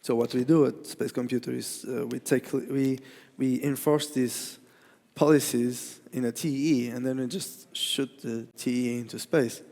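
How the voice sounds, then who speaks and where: Gravelly-voiced man with a Portuguese accent. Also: medium pitch, fairly even intonation, a little mellow. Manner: halting, many restarts and fillers. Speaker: conference speaker. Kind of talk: technical conference talk. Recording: reverberant hall.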